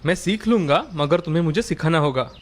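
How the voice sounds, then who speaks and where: A man's voice: fairly high pitch, a little fast, lightly acted. A man voicing a kind, earnest young butcher and devoted son in a story, speaking politely.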